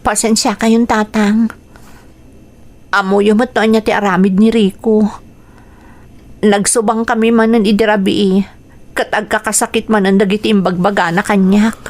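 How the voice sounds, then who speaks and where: A woman's voice: mid-range voice, little pitch movement, moderate pace, long pauses, a little mellow, lightly acted. An actress with an Ilocano accent playing a hurt, weary young wife and mother in a radio drama. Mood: pained, apologetic. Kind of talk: Filipino radio drama acting.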